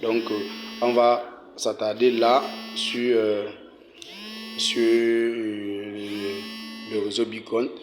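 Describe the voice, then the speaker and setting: A man hosting a casual tutorial, walking viewers through each step, often saying "ok" and "euh" and clearing his throat. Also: on the low side, level tone, drawn-out words, noisy background. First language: French. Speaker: channel host giving a tutorial. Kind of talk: casual screen-recorded walkthrough.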